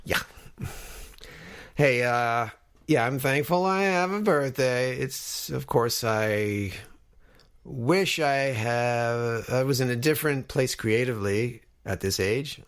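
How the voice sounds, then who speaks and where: A man's voice: mid-range voice, expressive, slow pace. A man of fifty hosting a podcast in a casual, conversational style, talking directly to his listeners as he introduces things. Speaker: podcast host.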